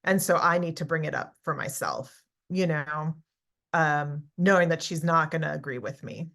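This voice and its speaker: Soft-spoken woman reading her memoir. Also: low voice, creaky. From Israel. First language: Hebrew. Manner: halting, thoughtful, many restarts in talk. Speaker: author reading from her book. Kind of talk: casual conversation and book reading.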